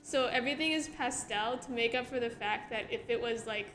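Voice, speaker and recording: A woman's voice: on the high side, brisk, fairly bright tone, a little hiss or hum. A young woman, a comic artist, chatting casually on a livestream while she draws, reading out viewer questions and laughing often in a self-deprecating way. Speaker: webcomic artist answering chat questions. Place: home room while drawing. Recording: echoey room with music behind.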